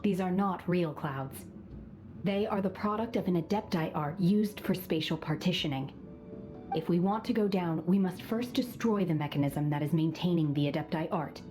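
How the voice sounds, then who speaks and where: A composed, aloof young woman, played by an actress, speaks in a low-set, formal and measured voice. She stays reserved and even as she explains things. Game music sits clearly behind her. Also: lightly acted. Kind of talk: video game dialogue.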